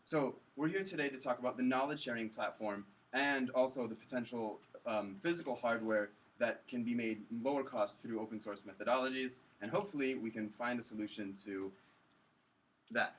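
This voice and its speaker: A man with a husky voice giving a casual, halting live presentation. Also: mid-range voice. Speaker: project presenter. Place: live event with audience. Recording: distant microphone in live room.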